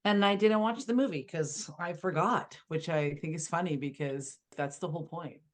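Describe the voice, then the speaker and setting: A woman's voice: fairly low pitch, normal speed. A woman chatting in a book club over a video call, friendly and enthusiastic, often ending her thoughts with "right?".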